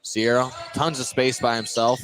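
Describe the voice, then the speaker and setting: A man's voice: medium pitch, fairly even intonation, normal speed. A man calling live basketball play-by-play as the announcer. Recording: noisy gym ambience, ball bounces. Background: basketball game in a busy gym.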